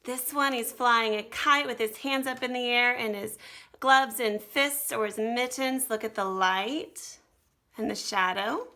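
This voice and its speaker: A woman with a bright, fairly high voice gives a children's art lesson. She talks straight to her young viewers, encouraging them and explaining each step of the drawing as she goes. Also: measured pace. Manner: encouraging, instructional, speaking directly to young viewers. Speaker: art teacher leading children's activity.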